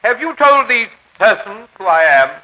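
An actor in a vintage radio drama playing a pompous, eccentric self-styled crusader for justice, with formal, florid diction. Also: male voice, high voice, sing-song, normal speed, sharp tone, lo-fi sound, full character voice. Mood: lofty, self-important. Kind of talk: 1940s radio drama acting.

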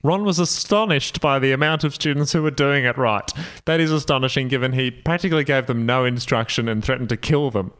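A man's voice: medium pitch, brisk, a bit bright, clean tone. A man as a podcast guest, reading a story aloud and breaking off for sardonic comic commentary. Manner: sardonic, interjecting jokes.

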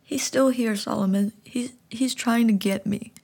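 A woman's voice: fairly high pitch, fairly even intonation, a little slow, vocal fry, a little mellow, husky, pitched above the performer's own voice, full character voice. A woman voicing a frightened little boy who pleads through tears. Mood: scared pleading. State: tearful.

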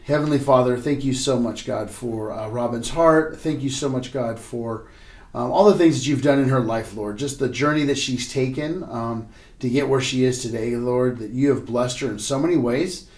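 A man's voice: mid-range voice, moderate pace, a little mellow. A man speaking as a pastor and podcast host, informal, asking simple questions and giving short affirmations. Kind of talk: casual interview and spoken prayer. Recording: roomy, echoey space.

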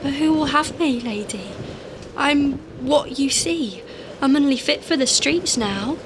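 An actress playing a hurt, guarded young streetwalker in an audio drama, defiant yet downcast, speaking colloquially. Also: female voice, high-pitched, animated, unhurried, noisy background. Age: young adult.

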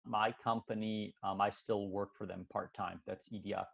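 Man, thirties, low gravelly voice, dull lo-fi sound, relaxed podcast guest, lots of fillers and restarts. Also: normal speed. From Switzerland, raised in Canada. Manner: relaxed, thinking aloud with many fillers and restarts. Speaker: podcast guest, sports scientist. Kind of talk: casual interview explaining science.

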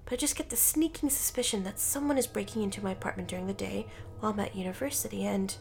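A woman's voice: mid-range voice, fast, gravelly, darkish tone, lightly acted. A woman voicing a nervous, paranoid young male college student in a dramatic story reading, anxious and pleading.

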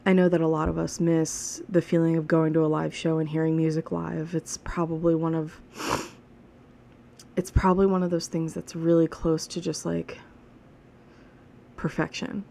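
A woman's voice: sits low, flat delivery, moderate pace, gravelly, long pauses, dark tone, slight background noise. A woman in her thirties hosting a casual solo podcast, rambling and chatty, frank and swearing now and then. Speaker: podcast host. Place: alone at home.

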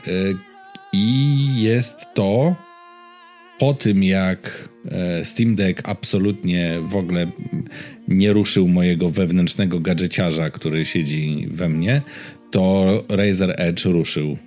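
A Polish man with a very deep voice co-hosts a casual gaming podcast. His delivery is conversational and a bit halting, broken by pauses. Soft music sits faintly behind him. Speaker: podcast co-host.

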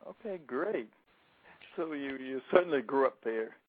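A man's voice: mid-range voice, animated, a little slow. A man co-hosting a show, putting questions to a guest in a friendly, conversational way. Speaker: co-host interviewing a guest. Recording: thin phone-like line.

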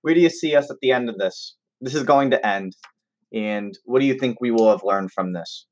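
A man interviewing in a conversational, measured way, framing his questions with empathy. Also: mid-range voice, darkish tone. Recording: slightly dull lo-fi audio.

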